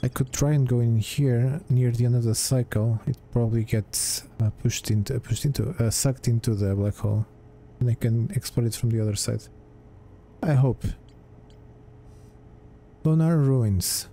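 A man's voice: medium pitch, normal speed, mellow, slight background noise. A man giving casual gameplay commentary, hesitantly muttering his thoughts aloud as he plays. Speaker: gamer, let's play host.

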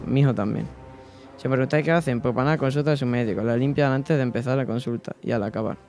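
A man's voice: medium pitch, darkish tone, no room sound, close to an everyday voice. A teenage boy from Spain playing a humble, weary craftsman of the 1790s, reading a first-person letter aloud in a small school radio studio. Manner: plain reading-aloud delivery with small slips. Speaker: student reader on school radio. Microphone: desk microphone, headphones.

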